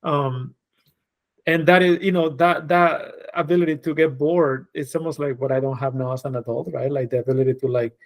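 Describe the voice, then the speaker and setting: A man's voice: on the high side, steady tone, moderate pace. A man in his forties from Spain, whose first language is Spanish, talking as a guest on a podcast about his path as a designer, reflective and loose, with many fillers and restarts. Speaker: guest, designer and creative director. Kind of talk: casual podcast conversation.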